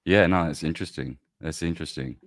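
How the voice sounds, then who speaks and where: Very deep-voiced man, dry close sound. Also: fast, no echo. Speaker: podcast host. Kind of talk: casual conversation.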